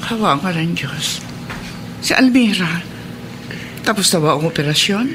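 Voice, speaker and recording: A woman's voice: fairly low pitch, expressive, measured pace. Concerned Filipino mother-in-law, noisy recording. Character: concerned, generous, probing mother-in-law, older woman. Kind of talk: Filipino radio drama acting.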